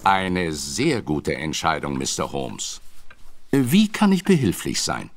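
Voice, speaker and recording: An actor playing a scheming aristocratic villain, smooth and polite to guests but scornful and commanding behind their backs. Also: male voice, extremely low pitch, huge pitch swings, slow, slight background noise, full character voice. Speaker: Sir John. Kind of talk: radio play acting.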